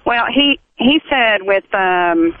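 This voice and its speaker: A woman's voice: mid-range voice, animated, takes it slowly, a little hiss or hum. A woman calling in to a radio show, chatting casually and explaining in a hesitant, rambling way. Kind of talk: casual phone-in conversation. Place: phone call to a radio show. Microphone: telephone. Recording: narrow, tinny phone line.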